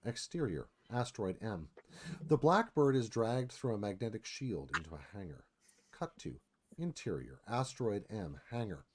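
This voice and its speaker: A man's voice: lowish voice, big pitch swings, moderate pace, a little mellow, a little hiss or hum. A man narrating stage directions in a live dramatic screenplay read.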